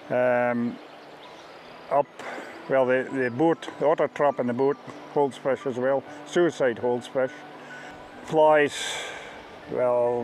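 A middle-aged man with a Scottish accent, working as a fishing ghillie, explains things informally in a halting, plain-spoken way. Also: mid-range voice, darkish tone, low-quality recording. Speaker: fishing ghillie on a river beat. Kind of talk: informal interview-style explanation of a fishing beat. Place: outdoors on a riverbank. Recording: outdoor ambient noise behind voice.

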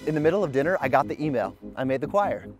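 A man in his thirties tells his family's story as a vlog host, upbeat and earnest, at an even middle pitch. He speaks outdoors into a lapel mic. Clear music plays under his narration. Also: rapid-fire. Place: outdoors in a park. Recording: music bed behind voice.

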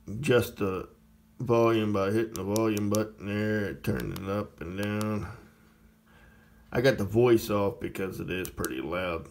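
Man, deep low voice, slow delivery, rambling product demo, indoors. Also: creaky, darkish tone. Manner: plainspoken, rambling, many uh fillers. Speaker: radio reviewer.

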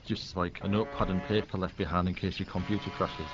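A man's voice: deep voice, level tone, fast, very noisy. A man from England with an English accent, talking casually as an interviewed radio hobbyist, in muffled audio. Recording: muffled audio under loud music.

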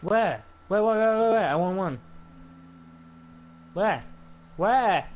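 A young man with a Welsh accent chatting and joking excitedly with a friend while playing a co-op shooter, his voice jumping high when he gets hyped. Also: slow, muffled recording. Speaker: gaming streamer. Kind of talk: casual co-op gameplay chat.

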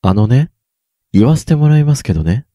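A man's voice: medium pitch, sing-song, a little fast, no echo, studio quality. A young man playing a teasing, supportive schoolboy in a radio drama. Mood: advising. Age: young adult. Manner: playful, gently needling. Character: teasing, supportive schoolboy best friend Ayato. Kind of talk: Japanese student radio drama acting.

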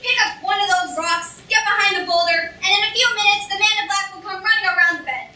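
A woman acts out a small villainous mastermind with a very high, piercing, bright voice. He is imperious and boastful, performing in a comic fantasy stage reading, picked up from far off in a roomy hall. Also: fast, exaggerated voice. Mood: bossy. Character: small arrogant villainous male schemer, self-proclaimed genius. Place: on stage in a hall, audience camera. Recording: roomy distant stage recording, faint noise.

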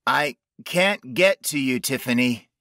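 A narrator plays a trapped young man in a horror audiobook. He calls out urgently in a raised, higher voice. The pitch moves a lot, and the sound is dry. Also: expressive, unhurried, sharp tone, dry recording. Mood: urgent, guiding. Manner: urgent, calling from a distance.

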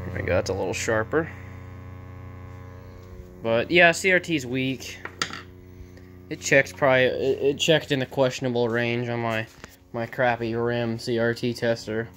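A man giving a casual, off-the-cuff narration as a hobbyist repairer, speaking informally and haltingly into a handheld camera in an indoor workshop. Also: medium pitch, a bit bright, faint music behind. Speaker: vintage TV repair hobbyist narrating his video.

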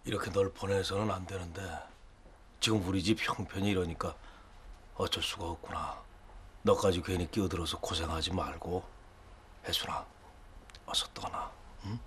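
Burdened middle-aged dad, low but bright voice, grave, regretful. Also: male voice, steady tone, moderate pace, pauses often. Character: kindly, burdened father of a struggling family. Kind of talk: TV drama acting. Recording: faint background noise.

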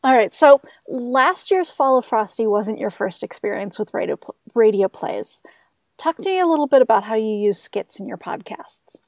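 Higher-pitched woman interviewer, dry sound. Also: lively intonation, moderate pace, no echo. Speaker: interviewer, host. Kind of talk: casual radio interview.

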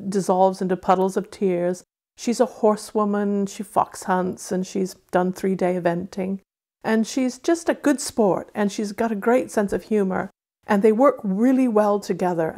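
A woman's voice: medium pitch, gravelly, mellow. A woman author with a mid-Atlantic accent, from Wales and Arizona, speaking about and reading her fiction. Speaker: author reading and discussing own novel.

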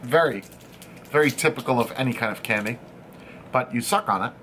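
A man hosts a casual candy-tasting chat in a studio room. He talks in an animated way, tells stories and swears now and then. His voice sits in a mid range over a faint background hum. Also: mid-range voice, a little fast. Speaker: host. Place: studio room with equipment racks.

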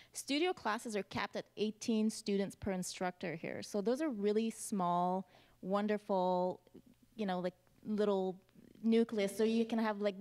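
A woman's voice: medium pitch, darkish tone. A woman moderating a student panel in a university lecture hall on a handheld mic, upbeat and chatty, laughing often.

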